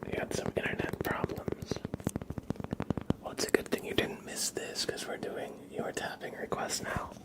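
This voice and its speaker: A man chatting casually in a gentle, playful, breathy ASMR whisper as a live streamer, close to the microphone. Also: normal speed, rough recording. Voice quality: breathy whisper with soft creaky murmurs.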